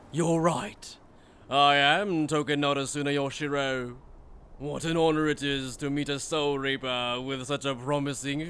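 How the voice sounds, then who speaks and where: A man's voice: medium pitch, fairly bright tone, slight background noise, lightly acted. A man playing a cruel, mocking aristocratic villain in an anime fan dub, with pompous, condescending, theatrical delivery. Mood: haughty, amused. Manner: pompous, theatrical, sneering formal speech.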